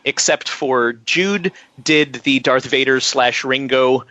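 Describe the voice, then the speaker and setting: A man's voice: mid-range voice, slow pace, pushed and bright, no room sound, dull audio. A man speaking as a musician guest in an interview, telling detailed, chatty anecdotes.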